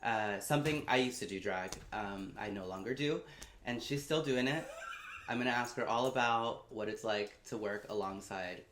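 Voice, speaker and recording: A man hosting a casual podcast, campy and loud, who swears a lot and swings between hushed and shouting. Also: on the low side, normal speed, roomy sound, slight background noise. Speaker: podcast host. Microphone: studio mic.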